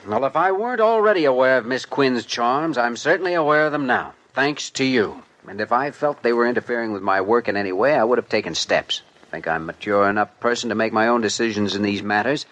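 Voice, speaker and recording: A self-assured young doctor in an old-time radio play, played by a man. He has a medium-pitched voice and speaks in clipped, pointed phrases with cool indignation. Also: brisk, few pauses, a bit bright, natural voice, low-quality recording. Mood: coolly indignant. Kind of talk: 1950s radio drama acting.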